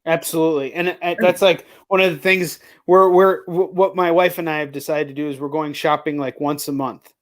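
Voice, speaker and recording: A man's voice: a little high, fairly even intonation, a little fast, hardly any pauses. A man hosting a casual live interview, talking in an informal, slangy way with lots of "like" and "you know.". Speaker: host of a live chat show. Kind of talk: casual video chat interview.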